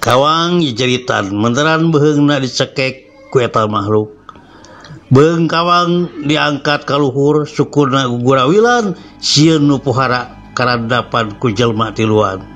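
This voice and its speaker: Unhurried Sundanese male horror storyteller. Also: mid-range voice, fairly bright tone. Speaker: storyteller, channel host. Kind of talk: dramatic horror story narration. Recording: music under the voice. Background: background music.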